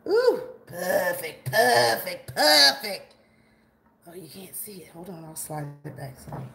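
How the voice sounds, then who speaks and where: A woman's voice: fairly low pitch, big pitch swings, slow pace, creaky, bright, forceful tone. Chatty woman hosting a kitchen livestream. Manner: chatty, greets viewers by name while cooking. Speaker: cooking livestream host. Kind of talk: casual live cooking chat with viewers. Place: large home kitchen, indoors. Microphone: camera on a tripod, moving around it. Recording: echoey kitchen with faint room noise.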